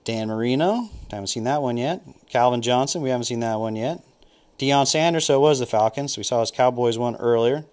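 Man, casual card-opening chatter, average pitch, thinking aloud, names cards one by one, recorded on a camera mic at a table. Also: brisk. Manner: relaxed, thinking aloud, naming cards as he flips. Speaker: card collector opening packs. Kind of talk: casual unboxing commentary.

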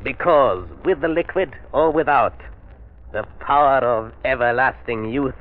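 An actor playing a scheming, megalomaniac army villain in a 1930s radio drama, with a theatrical, formal and sardonic delivery. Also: male voice, mid-range voice, measured pace, natural voice. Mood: gloating. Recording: muffled 1930s radio broadcast recording.

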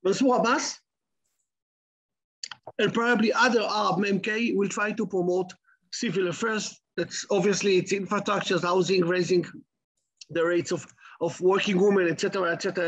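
A middle-aged man with an Israeli accent giving an online lecture in halting English, full of repeats and restarts, over a video call. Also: high voice, a bit bright, slightly rough, poor audio. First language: Hebrew. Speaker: guest lecturer.

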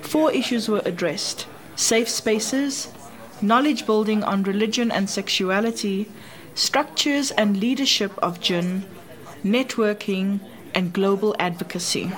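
A woman's voice: mid-range voice, unhurried, low-quality recording. A woman reading scripted documentary narration. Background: music.

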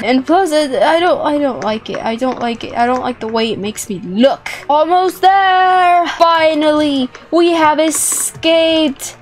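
A young woman hosting a playful gameplay video in an exclamatory, giggly manner, often repeating phrases. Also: high voice. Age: young adult. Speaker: gaming video host. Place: small indoor room with plush toys. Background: music.

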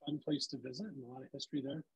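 A man with a rough, gravelly voice hosts an online session for teachers. He talks loosely with many fillers, and the call audio cuts in and out. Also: mid-range voice, steady tone, racing, rough recording. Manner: loose, filler-heavy, friendly instructions. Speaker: webinar presenter for teachers. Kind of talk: casual online presentation. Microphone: video call. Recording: video call audio, volume dropping in and out.

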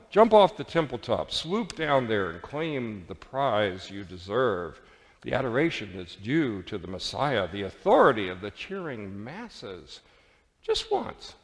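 A man voicing a sly devil in a sermon, coaxing insistently and theatrically. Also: medium pitch. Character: sly, coaxing male devil tempting grandly. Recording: reverberant church hall.

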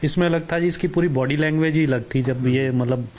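A man's voice: on the high side, brisk, darkish tone, slight background noise. A middle-aged man, giving explanatory answers as a cricket coach in an interview. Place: small living room at home, indoors. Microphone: handheld reporter microphone.

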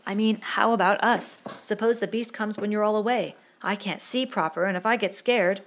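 A woman putting on a timid, high-pitched boy. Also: fairly even intonation, normal speed, darkish tone, natural voice. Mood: anxious. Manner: hesitant, pleading. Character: Piggy, timid anxious plaintive boy. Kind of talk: audiobook character dialogue.

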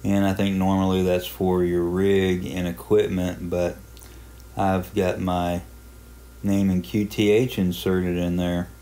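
A man giving a casual, unhurried tutorial narration, explaining a hobby software program step by step in plain terms. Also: sits low, little pitch movement. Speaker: amateur radio hobbyist presenting a software walkthrough.

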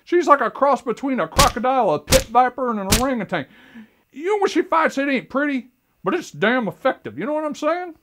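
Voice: high voice, big pitch swings, a little fast, noisy background. A performer playing a folksy, rambling rural man who talks straight to the audience in a comic monologue. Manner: chatty, folksy, keeps asking "you know what I'm saying?". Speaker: self-styled host addressing America. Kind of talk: comic character monologue to camera.

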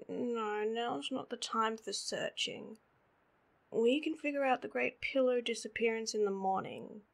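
A sleepy, needy girlfriend played by a woman with an Australian accent, whining playfully at bedtime. Her delivery is slow and drawled, with a creaky, husky voice. It is intimate roleplay audio, spoken right to the listener. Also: a little high, exaggerated voice. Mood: sleepy, silly. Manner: drowsy, whiny drawl with sighs and hums.

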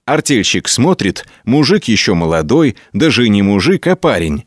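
Animated male folk tale storyteller. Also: on the low side, normal speed, no echo, clean studio sound. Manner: folksy, colloquial, expressive telling. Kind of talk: scripted folk tale narration.

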